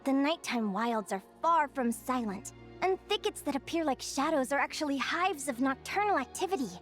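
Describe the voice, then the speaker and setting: High, animated young adventurer girl. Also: normal speed, faint music behind. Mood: earnest, musing. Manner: bubbly, earnest, expressive. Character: cheerful, curious, earnest young woman adventurer and naturalist. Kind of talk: animated video game character acting.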